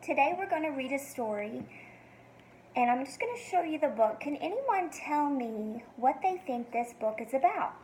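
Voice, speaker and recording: A woman reading a picture book to young children like a teacher, expressive and sing-song, with encouraging questions. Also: sits high, normal speed, slight background noise, poor audio. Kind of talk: read-aloud for young children, asking questions.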